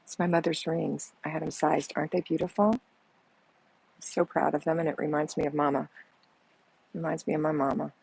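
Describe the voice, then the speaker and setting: A soft-spoken woman, hosting a casual live chat and answering viewers like a chatty, motherly coach who calls them honey. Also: deep voice, little pitch movement, brisk, creaky, long pauses, slightly rough, rough recording. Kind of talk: casual live-stream chat reading comments. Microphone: earbuds with mic.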